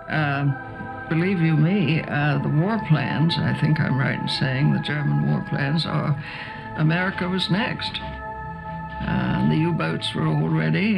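An older woman recalling wartime in an interview, halting, with wry understatement. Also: low voice, vocal fry, muffled recording. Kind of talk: interview reminiscence.

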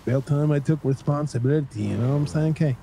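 A man's voice: a little high, quick pace, vocal fry, background noise. Brash man hamming a fake Irish accent. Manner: puts on a fake Irish accent, then a mock American one; teasing, brash, swearing. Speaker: streamer playing a roleplay character. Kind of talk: casual banter over voice chat. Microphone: headset.

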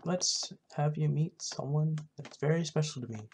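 A low-key, unhurried gamer casually narrating a roleplay game session. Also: darkish tone, slight background noise. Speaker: gaming video host.

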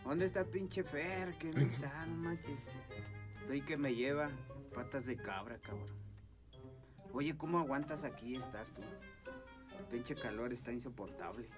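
A man's voice: medium pitch, a little slow, long pauses, music in the background. A middle-aged man with a Mexican accent chatting casually in slang, repeating phrases and swearing freely. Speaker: prankster video host. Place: small enclosed recording studio.

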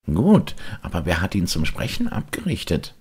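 An excitable, naive boy puppet from a storybook, voiced by a man, pitched up from a deep register. He speaks eagerly, with swooping intonation. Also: normal speed, slightly rough, full character voice. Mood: indignant, puzzled. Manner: eager, exclamatory.

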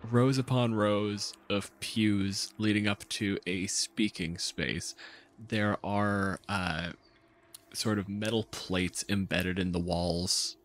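A man narrating a tabletop game as game master, telling it dramatically with halting pauses. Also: fairly low pitch, steady tone. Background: music.

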